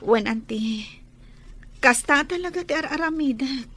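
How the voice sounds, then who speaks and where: A woman's voice: high-pitched, lively intonation, unhurried, a bit bright. A woman acting as a worried, grateful pregnant wife who speaks deferentially in a radio drama. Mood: deferential, anxious. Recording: noticeable background ambient noise.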